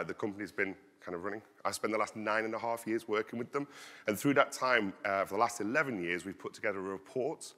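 Loud, bright-voiced man in a hall. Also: on the low side. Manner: energetic, walks the stage while talking; loud, projecting voice. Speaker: conference speaker. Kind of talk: conference presentation. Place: large conference hall stage. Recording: long hall reverb.

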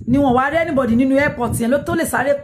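A woman's voice: high voice, racing. Nigerian Yoruba woman, noisy phone recording. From Ijebu, southwestern Nigeria. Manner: emphatic, repeats phrases, switches between Yoruba and English. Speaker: livestream host commenting on news. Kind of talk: casual live commentary, reading and answering comments. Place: small indoor room with curtain. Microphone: phone at arm's length.

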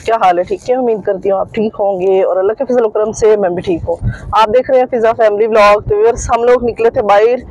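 A woman's voice: medium pitch, darkish tone, poor audio. A middle-aged woman vlogging casually from inside a car, talking straight to her viewers in a chatty way, filming on a phone held at arm's length. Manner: chatty, addresses viewers directly, repeats filler phrases. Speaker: family vlogger. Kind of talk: casual vlog narration. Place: inside a car in a busy market street. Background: faint traffic and vehicle noise.

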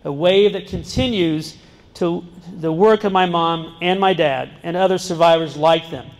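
A man's voice: fairly high pitch, unhurried. A middle-aged man giving an earnest talk to students, holding a microphone in a large school gym, sometimes breaking off and restarting and joking with his listeners. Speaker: guest speaker. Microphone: handheld microphone. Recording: long reverb in a large hall. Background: seated student audience.

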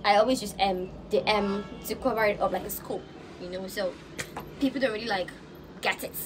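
A woman's voice: medium pitch, fairly quick, over music, poor audio. A young woman with a Nigerian accent, chatting casually to camera in a get-to-know-me vlog, stammering and laughing as she talks outdoors. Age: young adult. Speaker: vlogger. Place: outdoors on a balcony above rooftops. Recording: outdoor background noise. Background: street ambience.

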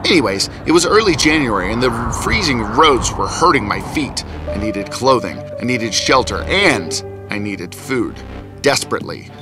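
A man's voice: medium pitch, big pitch swings, normal speed, over music, lightly acted. Man acting an arrogant, swooping invisible scientist. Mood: boastful. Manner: theatrical, boastful, scornful of others. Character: arrogant invisible male scientist, gloating and restless. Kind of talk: dramatic first-person storytelling in a cartoon.

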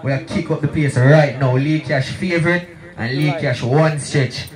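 High-voiced young Jamaican man hyping on stage. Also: normal speed. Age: young adult. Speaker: dancehall artist. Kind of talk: stage hype talk in Patois. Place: outdoor night stage. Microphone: handheld microphone held close. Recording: echoey live PA, loud music. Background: loud hip hop music.

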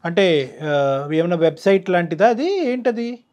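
A man's voice: sits high, animated, measured pace, a little mellow, highs cut off. A middle-aged man hosting a counselling interview in a studio, asking probing questions. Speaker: counsellor and show host. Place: quiet dark TV studio.

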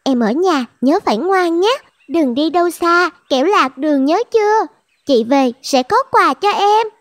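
A woman voicing an affectionate young girl talking to her pet in a cartoon, gentle and doting. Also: very high voice, lively intonation, measured pace, no room sound, studio quality. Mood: tender.

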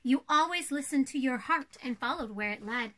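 An elegant older-sister princess, acted out by a young woman reads a children's storybook. The voice is high and bright. The delivery is poised and theatrical, as befits proper royalty. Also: expressive, moderate pace. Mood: fond. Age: young adult. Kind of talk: storybook read-aloud for children.

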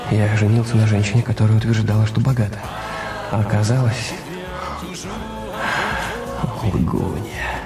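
An actor in a radio drama playing a resentful husband, defensive and sardonic, given to brooding. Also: male voice, on the low side. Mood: bitter brooding. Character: bitter resentful husband, a French masseur.

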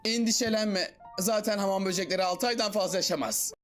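A man's voice: sits high, brisk, a bit bright, roomy sound, long reverb tail, soft background music. A man voicing a boastful, theatrical cartoon clone. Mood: teasing. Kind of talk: animated comedy dub in Turkish.

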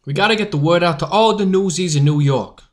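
A woman's voice: fairly low pitch, brisk, slight background noise, lightly acted. A woman acting a cocky young male newsboy who leads a strike, talking in brash street slang in an amateur audio drama. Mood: rallying.

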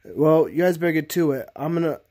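Halting plush-toy show host played by a man. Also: a little high, animated, dark tone. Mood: chatty, halting. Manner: halting, stammering delivery. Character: plush toy characters chatting and hosting reaction show. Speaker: host of puppet reaction show. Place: bedroom.

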